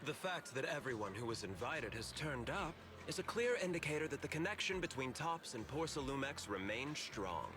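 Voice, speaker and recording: A man playing a courteous, composed corporate manager in a video game, speaking in polite, measured explanations. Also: medium pitch, expressive, natural voice. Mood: composed, explanatory.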